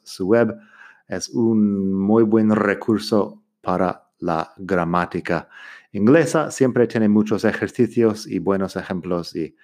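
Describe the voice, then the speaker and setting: A man hosting an English-learning podcast, giving an upbeat, promotional introduction. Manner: lively, promotional, swooping up in pitch. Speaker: podcast host, English teacher. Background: faint music.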